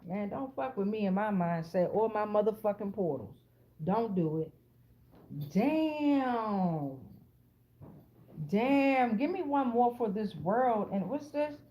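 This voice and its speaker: A woman's voice: mid-range voice, lively intonation, takes it slowly, warm tone, a little hiss or hum. An American woman giving a casual, improvised tarot reading to an online audience, blunt and streetwise, swearing freely and repeating phrases for emphasis. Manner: profanity-laced, streetwise, blunt. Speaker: tarot card reader, channel host.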